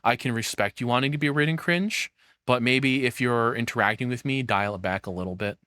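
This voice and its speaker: A man's voice: medium pitch, brisk, pushed and bright, no room sound. A man streams casual reaction commentary in a mid-range voice. He rambles off the cuff and swears freely. The sound is dry, with very little room in it.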